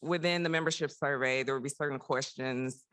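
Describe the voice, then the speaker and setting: A woman's voice: a bass voice, steady tone, brisk, a bit bright. A young woman, a medical fellow, giving a prepared conference presentation as a committee report.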